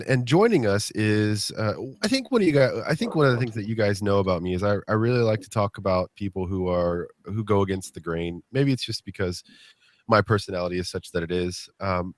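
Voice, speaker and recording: A man's voice: lowish voice, no echo. A man hosting a podcast interview, congested from a cold, upbeat and joking with plenty of fillers. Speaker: podcast host.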